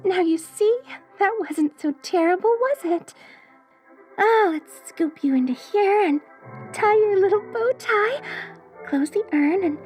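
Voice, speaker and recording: A woman playing a gleeful, lovestruck young mortician bride in a dark comedy audio roleplay, girlish and cooing with a sweetly sinister, theatrical manner. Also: extremely high pitch, expressive, measured pace, soft background music. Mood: elated, adoring.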